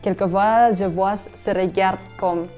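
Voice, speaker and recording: Slow, mellow-voiced woman. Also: medium pitch, steady tone, soft background music. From Indonesia. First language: Indonesian. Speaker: interviewee. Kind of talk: hesitant non-native French, restarting words.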